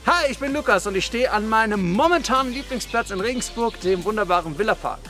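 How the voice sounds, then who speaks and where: High-voiced man from northern Germany. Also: expressive, fast, sharp tone. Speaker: video crew member. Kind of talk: self-introduction and short answers to camera. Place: outdoors in a park. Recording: music in the background.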